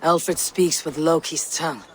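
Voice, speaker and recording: Game casting: man as seasoned Norse warrior, wary and blunt, raspy higher register, long reverb. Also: steady tone, a little slow, a little hiss or hum, close to an everyday voice. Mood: wary, measured. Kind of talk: video game cutscene acting.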